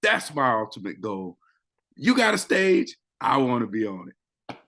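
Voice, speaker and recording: A man co-hosting a talk show as a public speaking coach, his voice rising and falling across a wide, lively range. He talks at an unhurried, deliberate pace. He swings from loud to soft for effect and repeats phrases for emphasis. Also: medium pitch. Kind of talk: casual show chat.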